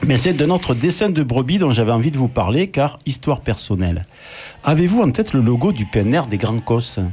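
An older man reads his column in a measured, wry way. His voice sits at an ordinary pitch. Faint ambient noise hangs behind him. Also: sing-song, darkish tone. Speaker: radio columnist telling a personal story. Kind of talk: read-out radio column.

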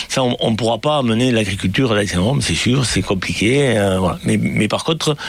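A man, the mayor, answering interview questions in a halting way, with many fillers and restarts. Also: medium pitch.

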